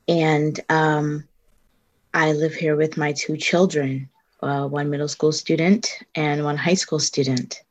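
A middle-aged woman from the South Bronx, a community organizer, answering interview questions earnestly, with frequent pauses and self-corrections. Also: low-pitched, level tone. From South Bronx, New York.

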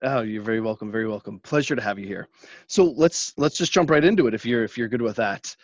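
A man's voice: on the low side, fast talker, no room sound. A man hosting a podcast interview, friendly, talking with many fillers and restarts. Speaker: podcast host. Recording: dry, dull lo-fi sound.

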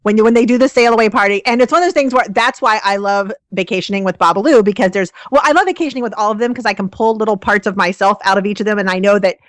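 A woman from Ohio, a podcast co-host chatting casually, gushing and quick to laugh. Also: mid-range voice, no echo, clean studio sound. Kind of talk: casual chat.

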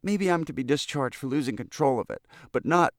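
A man's voice: medium pitch, fairly quick, dry recording, clean studio sound, pitched above the performer's own voice, lightly acted. A man voicing a cheeky young elevator boy in a storybook, pleading with hurt innocence in folksy, ungrammatical speech.

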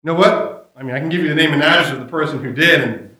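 A man's voice: fairly high pitch, fast talker, pushed and bright. A man co-hosting a live radio-style comedy show in a grumpy, exasperated, sarcastic manner. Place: comic shop, live before audience. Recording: echoey live room recording.

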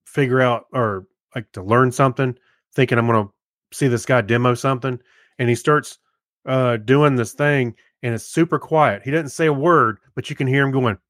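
A man talks casually as a podcast co-host in a very dry recording. His delivery is informal and a little halting, with thoughts reworked as he goes, at an ordinary male pitch. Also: a bit bright, no echo. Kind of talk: casual chat.

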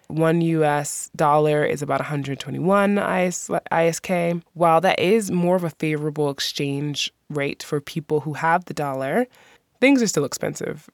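A woman's voice: low voice, no room sound, clean studio sound. A woman hosting a podcast, giving friendly, practical travel advice. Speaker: podcast host. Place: lives in the country she describes.

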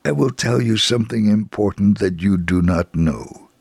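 Gravelly, low-voiced man telling a story. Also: normal speed, a little mellow, no echo. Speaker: narrator reading a testimony story. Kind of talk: scripted dramatic story narration for radio.

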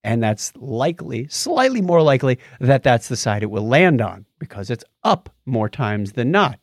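A man's voice: medium pitch, sing-song, normal speed, dry recording, studio quality. A man co-hosting a podcast, chatting casually and commenting on news with wry, joking asides. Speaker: podcast co-host.

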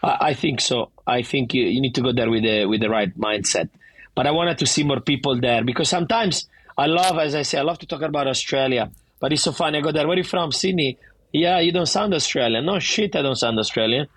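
A man's voice: medium pitch, fairly quick, a bit bright, husky, no room sound. A middle-aged man from Italy with a thick Italian accent, a bar owner talking animatedly and emphatically in a casual interview, often restarting his sentences.

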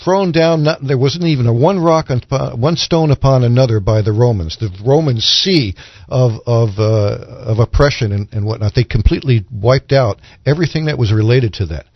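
A man with a medium-pitched voice explains scripture in a panel discussion. He is emphatic, setting up points with rhetorical questions. The radio audio is dry and a little dull. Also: moderate pace, dry recording.

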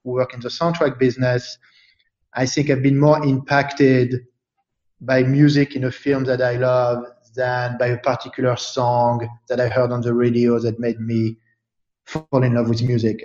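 A man from France, speaking as an interview guest in a thoughtful, halting way with many fillers and restarts. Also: medium pitch, mellow, reverberant, muffled recording. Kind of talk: casual interview chat.

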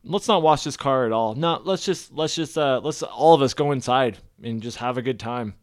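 A man co-hosting a loose podcast chat, his voice sitting at an ordinary middle pitch. He rambles and stalls on sentence starts, repeating words before he gets going. The sound is dry and close, with no room around it. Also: normal speed, dry recording. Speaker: podcast co-host. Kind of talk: casual chat.

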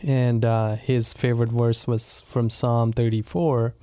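Man, Punjabi native, young adult, relaxed, friendly, chatty, dry room. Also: mid-range voice, measured pace, clear, resonant tone, no room sound. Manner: relaxed and friendly, laughs easily, many fillers and restarts. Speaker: associate pastor of local mission. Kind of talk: casual interview chat.

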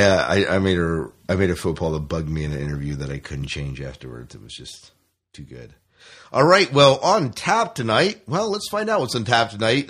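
A man's voice: on the low side, a bit bright. A man hosting a podcast in casual, joking chat with his co-host, often rephrasing as he goes.

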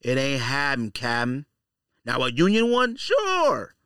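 A man's voice: medium pitch, huge pitch swings, unhurried, a bit bright, clean tone, dry recording, studio quality. A man hosting a podcast in a casual, opinionated monologue, blunt and slangy, repeating phrases for emphasis. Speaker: podcast host.

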